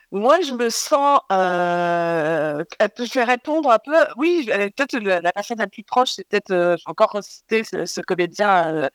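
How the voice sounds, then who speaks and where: A woman director talks about her film in a radio interview. She speaks slowly and searches for words, with many pauses and restarts. Her voice sits in a middle register and is recorded dry and close. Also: mid-range voice, animated, no room sound. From living in Toronto, Ontario. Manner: hesitant, many fillers and repeated words.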